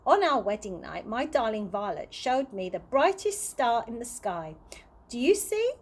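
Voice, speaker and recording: A woman's voice: on the high side, very animated, unhurried, breathy edge, heavily acted. Kindly old tramp, voiced by a woman. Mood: wistful, tender. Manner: earnest, gently encouraging. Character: kindly old male tramp, wistful and encouraging.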